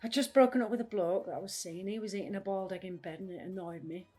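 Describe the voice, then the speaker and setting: A woman's voice: medium pitch, fast talker, darkish tone, soft background music, close to an everyday voice. A woman acting in an audio play as a restless, literal-minded, blunt adult woman with a matter-of-fact way of talking. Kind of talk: audio drama dialogue.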